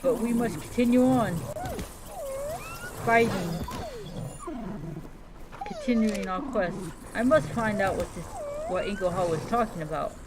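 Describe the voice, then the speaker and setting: A woman streamer role-playing in character as a dragon rider in a game, speaking haltingly and repeating words. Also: a little high, huge pitch swings, noisy background. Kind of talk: in-character game roleplay storytelling, talking to pet creatures.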